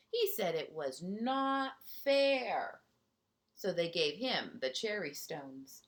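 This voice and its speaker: A woman teacher reading a picture book aloud to young children, expressively, with asides and questions to her listeners. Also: fairly low pitch.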